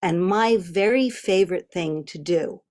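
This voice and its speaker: Low-voiced woman telling a personal story. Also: a little slow. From Connecticut. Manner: gentle, descriptive telling. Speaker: storyteller and co-host. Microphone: video call.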